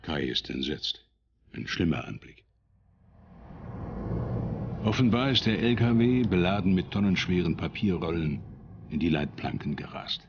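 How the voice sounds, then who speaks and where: A man narrating a television documentary in a calm, measured voice-over. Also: low-pitched, vocal fry, highs cut off, noisy background. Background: music.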